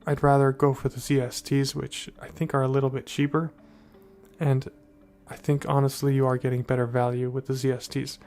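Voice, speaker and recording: A man's voice: medium pitch, level tone, brisk, a little mellow. A man hosting a casual product review, talking conversationally and directly to his viewers. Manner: conversational, addresses viewers as guys. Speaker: tech reviewer, channel host. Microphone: USB desktop condenser microphone.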